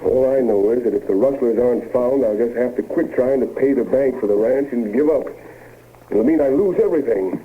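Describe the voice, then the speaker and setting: An actor playing an earnest, worried rancher in a 1950s radio western, given to exclaiming. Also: male voice, medium pitch, fairly quick, slight background noise, natural voice. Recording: muffled old radio tape rip.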